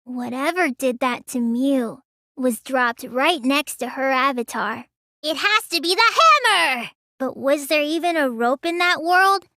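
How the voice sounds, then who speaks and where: Casting: small teenage girl mage for a game; childish, bright, sluggish and whiny, recorded close and dry. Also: sits high, lively intonation, a little slow, no echo, full character voice. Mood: matter-of-fact. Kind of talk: English video game voice acting.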